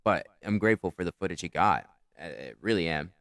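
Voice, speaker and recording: A man's voice: low-pitched, animated, a little fast, fairly bright tone, no room sound, clean studio sound. A man with a powerful, carrying voice, casually reviewing his own comedy set in a rambling, profane, self-critical way. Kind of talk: casual commentary.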